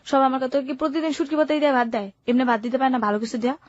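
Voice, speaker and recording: A woman's voice: high voice, fairly even intonation, rapid-fire, dry recording, highs cut off. A seventeen-year-old woman with a colloquial Bangladeshi Bengali accent, telling her life story informally and matter-of-factly. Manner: matter-of-fact, unsentimental telling. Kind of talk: informal first-person storytelling.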